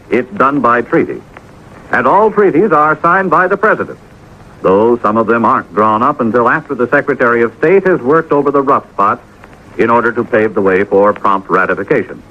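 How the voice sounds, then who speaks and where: An American man reading a folksy, explanatory public-service script in the style of a 1950s radio announcer. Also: medium pitch. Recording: dull, faintly noisy old radio recording.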